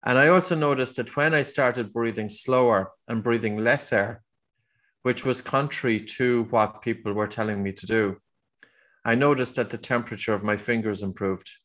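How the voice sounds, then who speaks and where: A man's voice: mid-range voice, moderate pace, a bit bright. A middle-aged man with an Irish accent, a guest on a podcast, earnestly explaining his work with a few restarts. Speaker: guest, breathing teacher. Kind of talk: conversational interview answer.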